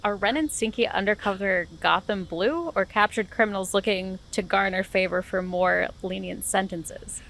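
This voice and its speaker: A woman reading the part of a young vigilante heroine in a comedic script reading, deadpan and clipped. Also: mid-range voice, normal speed, gravelly, hardly any pauses, a little hiss or hum, poor audio. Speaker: guest reader.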